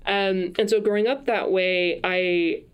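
Woman from France, thoughtful and halting. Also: mid-range voice, steady tone, clear, resonant tone. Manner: thoughtful, many fillers and restarts. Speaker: podcast guest. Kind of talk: casual conversational interview.

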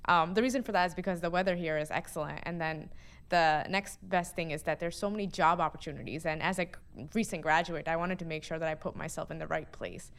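Young female guest from Pakistan, smooth low voice, relaxed and chatty. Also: fast. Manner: casual, uses "like" often. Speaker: guest, neuroscience student. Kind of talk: conversational interview.

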